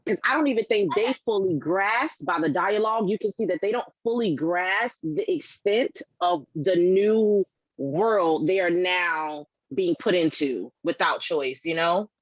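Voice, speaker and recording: A woman with a fairly low voice gives casual, reflective feedback, piecing her thoughts together as she speaks. The sound is very dry and a little dull, like limited-bandwidth audio. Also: measured pace, dry recording.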